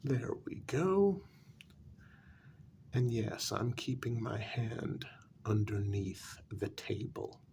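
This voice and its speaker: A man playing a jaded, irritable vampire in a soft-spoken ASMR role-play, with weary sarcasm and a gravelly, half-whispered voice. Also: lowish voice, sing-song, slight background noise, heavily acted. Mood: scornful, menacing. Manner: slow, deliberate, weary sarcasm. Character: jaded, irritable male vampire hiding a hideous face.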